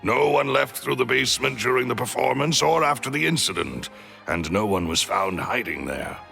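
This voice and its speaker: A man voicing a formal, courteous and dutiful attendant in a video game, with a gravelly voice. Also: mid-range voice, animated, normal speed, pushed and bright, soft background music, heavily acted.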